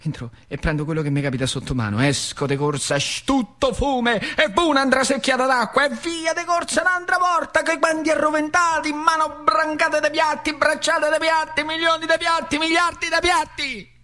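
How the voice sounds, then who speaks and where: An actor playing an excitable working-class factory worker, telling his story in a central Italian accent with repeated phrases for emphasis. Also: extremely high pitch, normal speed, hardly any pauses. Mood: frantic. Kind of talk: theatrical monologue in dialect.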